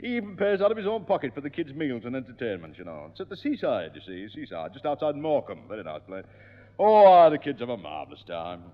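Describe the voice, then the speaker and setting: An actor playing a pompous, chatty neighbour in a 1960s radio sitcom, speaking in a theatrical, rambling way. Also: male voice, mid-range voice, huge pitch swings, fast talker. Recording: dull echoey 1960s radio recording.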